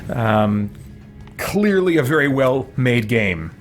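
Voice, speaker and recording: A man's voice: mid-range voice, sing-song, slow pace. A man giving casual let's-play commentary as a game streamer, thinking aloud as he goes. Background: game music.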